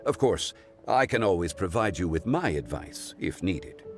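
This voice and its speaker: A man playing a dignified, wise gentleman consultant in a video game, speaking formally and with measured courtesy. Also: low voice, very animated. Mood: calm, advisory. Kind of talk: video game cutscene acting. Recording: background music.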